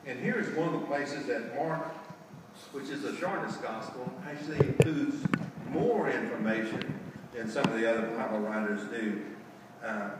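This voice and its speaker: A man's voice: mid-range voice, noisy background. A man in his sixties preaching a folksy sermon from the pulpit, often asking the congregation rhetorical questions. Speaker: lay preacher. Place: large church sanctuary, indoors. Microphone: far camera at the back of the sanctuary. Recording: echoey church hall, distant camera.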